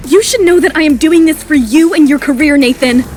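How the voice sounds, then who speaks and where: Audio drama young model girl, woman acting, high sweet voice, quietly defiant, faint music. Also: brisk, lightly acted. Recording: music behind the voice.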